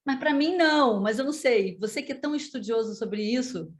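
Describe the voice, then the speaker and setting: A woman's voice: on the high side, a little fast. A woman interviewer from Brazil asking a long question hesitantly, often restarting and adding "né". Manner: hesitant, many fillers and restarts.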